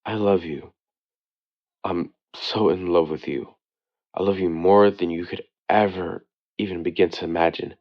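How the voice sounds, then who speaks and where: A man playing a devoted boyfriend who leaves an intimate late-night voicemail, speaking earnestly and tenderly with hesitant pauses. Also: low-pitched, fairly even intonation, highs cut off. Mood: loving, reassuring. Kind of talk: intimate boyfriend audio roleplay, slow monologue. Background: soft new-age music.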